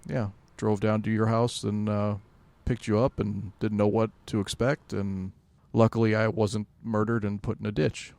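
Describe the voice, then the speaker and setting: A man chatting casually as a podcast co-host, with a deadpan, self-deprecating manner. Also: lowish voice, normal speed, vocal fry, no echo. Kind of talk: casual chat.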